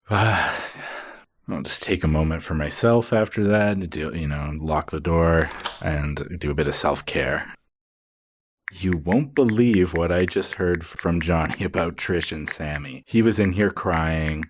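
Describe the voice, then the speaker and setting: A man playing a smug, gossipy high school guidance counselor in a comedy sketch, with a confiding, self-satisfied manner. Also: slow, slight background noise.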